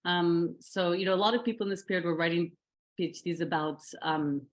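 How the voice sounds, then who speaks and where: A woman's voice: on the low side, brisk. A middle-aged woman researcher reflecting on her academic path in an interview, thoughtful, with many fillers and restarts.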